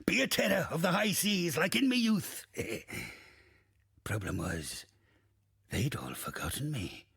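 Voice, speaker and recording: Crusty old ghost pirate captain for a game, super raspy, swings all over, crude and boastful. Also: male voice, fairly high pitch, sing-song, a little slow, a bit bright, no echo, studio quality, full character voice. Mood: rueful, bitter. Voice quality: gravelly, husky, raspy. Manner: archaic pirate dialect, swaggering, theatrical, cursing. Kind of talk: video game character acting.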